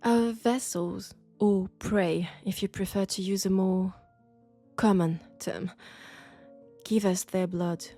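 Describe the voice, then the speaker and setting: A woman with a French accent playing an elegant, condescending vampire scholar in an intimate roleplay audio drama, speaking deliberately and seductively. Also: mid-range voice, fairly even intonation. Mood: haughty, smooth. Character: elegant, sophisticated, coolly curious female vampire scholar. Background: faint dark ambient music.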